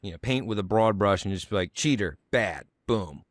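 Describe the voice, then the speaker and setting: A man's voice: on the low side, animated, normal speed, no room sound, clean studio sound. A man hosting an interview podcast, asking long, enthusiastic questions with plenty of fillers. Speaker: podcast host. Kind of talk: casual interview.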